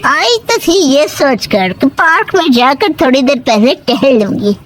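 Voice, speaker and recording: Lady doing a worried grumbly cartoon mother-in-law, really high pitched, super animated and dramatic. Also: unhurried, soft background music. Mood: worried, grumbling. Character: fretful, suspicious middle-aged Indian mother-in-law. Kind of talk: animated moral story dialogue.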